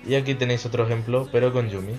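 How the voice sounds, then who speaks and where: A man's voice: mid-range voice, fairly even intonation, very fast, darkish tone. A young man with an accent from Spain, presenting a casual video game tutorial as host over background music.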